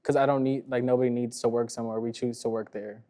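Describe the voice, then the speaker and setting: A man's voice: medium pitch, fairly even intonation, fast talker, warm tone. A man in his early twenties talking casually as a talk show guest, often dropping "like" into his sentences. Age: early 20s. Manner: casual, frequent "like" as filler.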